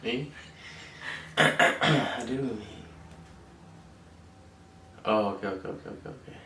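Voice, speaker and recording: Gravelly joking young man, echoey. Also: on the low side, slow, bright, forceful tone, a little hiss or hum. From San Diego, USA. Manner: joking, teasing, laid-back. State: sick. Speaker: vlog co-host. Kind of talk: casual Q&A chat. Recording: echoey room, far from the mic.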